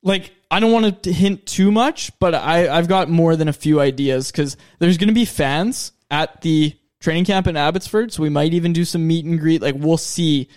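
A man's voice: fairly high pitch, moderate pace, hardly any pauses. A man in his early twenties co-hosting a podcast in casual, joking chat. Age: 20s. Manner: joking, plugs the show's perks. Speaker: podcast co-host.

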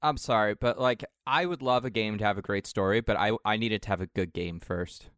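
A man with a smooth, resonant voice speaking bluntly in casual podcast chat. He pushes his opinions plainly. The sound is dry and close to the mic. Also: on the low side, fairly quick, a bit bright, no room sound. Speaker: podcast co-host.

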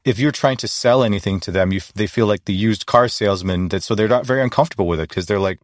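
A man's voice: on the low side, quick pace, dry recording, studio quality. A man hosting an interview, agreeing often and asking loose, rambling questions. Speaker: podcast host. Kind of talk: casual interview conversation.

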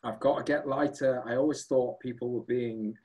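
A man who sings and plays guitar in a band, chatting as a guest in a relaxed, rambling, self-deprecating way. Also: mid-range voice, normal speed. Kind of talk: casual chat.

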